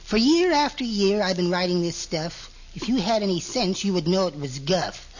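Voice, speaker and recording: A man's voice: high voice, lively intonation, moderate pace. A man playing a meek, henpecked little husband with sly spite, mild and prim, in 1940s radio comedy style. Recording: vintage radio, muffled with background hiss.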